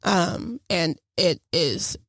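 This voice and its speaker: A woman in her twenties, an esthetician, chatting upbeat and animated on a podcast. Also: lowish voice, drawn-out words, no room sound, clean studio sound. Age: 20s. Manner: upbeat, animated when retelling stories. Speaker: esthetician and co-host. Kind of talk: casual chat.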